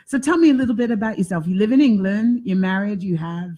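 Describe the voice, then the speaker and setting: A woman's voice: mid-range voice, quick pace, dark tone. A woman hosting a talk show, chatty and rambling, with lots of fillers as she leads the conversation. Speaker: talk show host and life coach. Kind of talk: interview conversation.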